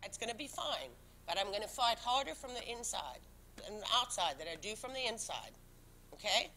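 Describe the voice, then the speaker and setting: A woman's voice: mid-range voice, lively intonation, moderate pace, bright, forceful tone, slight background noise. A woman serving as town commissioner, speaking English as a second language, who talks emphatically and defensively with many restarts at a public board meeting. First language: not English. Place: town council meeting room.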